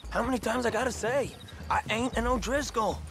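An actor playing a young American outlaw captive in a video game, nervous, stammering and eager to appease. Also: male voice, extremely high pitch, brisk, vocal fry, a bit bright, a little hiss or hum, full character voice. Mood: aggrieved. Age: young adult. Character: frightened, pleading young male outlaw captive. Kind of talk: cinematic video game acting.

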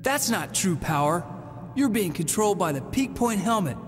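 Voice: mid-range voice, big pitch swings, moderate pace, husky. An actor playing a brave, hot-headed young hero boy in a video game cutscene, speaking in an earnest, emphatic way. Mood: determined. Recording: long reverb over game music.

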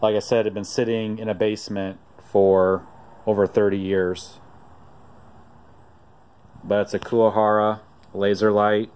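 A man narrating off-camera outdoors while filming a vintage find, telling the story in a relaxed, rambling way with frequent pauses. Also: lowish voice, clear, resonant tone. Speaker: vlogger showing a vintage find. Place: outdoors on a driveway.

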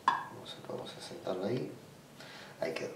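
A man with an Argentine Spanish accent giving an informal step-by-step demonstration in a halting way, often rephrasing himself. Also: deep voice, lively intonation, creaky, sharp tone. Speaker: ritual practitioner giving a tutorial. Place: small indoor room at a table. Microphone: camera mic away from the speaker. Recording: roomy sound, distant microphone.

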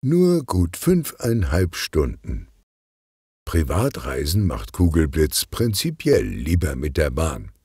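A man's voice: very deep voice, huge pitch swings, takes it slowly, warm tone, husky, no echo, studio quality. A man narrating a children's detective story from a script. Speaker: audiobook narrator.

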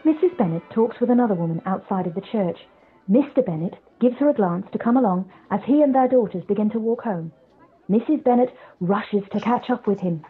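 A woman's voice: mid-range voice, lively intonation, brisk, breathy edge. A woman narrating scripted stage directions for a live-read drama. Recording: dull video call, faint noise.

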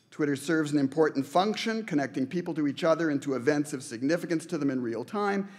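Middle-aged man making an emphatic podium speech. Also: on the high side. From Canada. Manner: earnest, emphatic, builds with repeated phrases. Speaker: award recipient giving an acceptance speech. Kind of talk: prepared speech read at a podium. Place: large banquet hall, indoors. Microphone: podium microphones.

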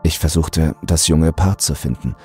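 A man narrating a scripted horror audiobook in a deliberate, brooding delivery. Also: extremely low pitch, darkish tone, faint music behind.

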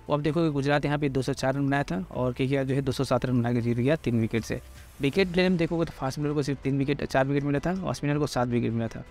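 A man's voice: mid-range voice, darkish tone. An Indian man presenting informal sports analysis, explaining things repetitively and addressing listeners directly. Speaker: cricket pitch report presenter.

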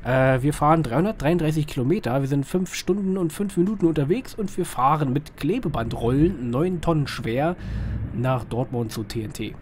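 A slightly hoarse man gives casual, rambling, colloquial commentary on a driving game, often asking himself little questions. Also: on the high side, lively intonation, moderate pace, a little mellow. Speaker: gaming video host. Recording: clear vehicle engine noise behind voice. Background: truck simulator engine sound.

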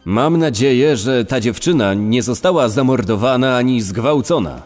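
A man's voice: medium pitch, expressive, moderate pace, a little mellow, natural voice. A man playing an evasive, defensive middle-aged murder suspect in a true crime podcast reenactment. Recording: long reverb, music behind.

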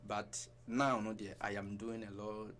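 Brief: Ghanaian man, early thirties, lowish voice, relaxed studio guest, faint background hum. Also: measured pace. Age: 30s. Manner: relaxed, mixes in Twi phrases. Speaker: radio guest. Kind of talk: casual radio interview. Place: small radio studio. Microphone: headset and desk mic.

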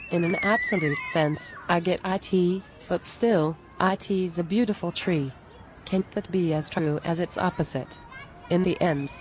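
A woman acting an exasperated, earnest friend, lowish voice. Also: darkish tone, slight background noise, close to an everyday voice. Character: exasperated, plain-spoken woman pleading with an arrogant spiritual friend. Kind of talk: scripted animated dialogue.

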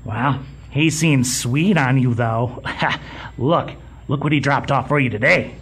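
A slow, pompous museum boss. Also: male voice, medium pitch, a bit bright, breathy edge, heavily acted. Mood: patronizing. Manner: stammering, pompous, patronizing. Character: fussy, condescending, bald little museum boss. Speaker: museum supervisor. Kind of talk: comedic audio drama acting.

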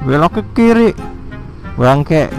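Young man, motovlogger, slow joking commentary, helmet mic, soft music behind. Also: fairly high pitch, lively intonation. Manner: chatty, joking, slangy, teasing other riders. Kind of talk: casual riding commentary. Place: riding a motorcycle in city traffic outdoors.

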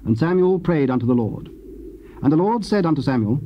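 A man narrating scripture solemnly, in a formal, measured manner. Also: on the high side, animated. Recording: muffled, hissy old cassette recording.

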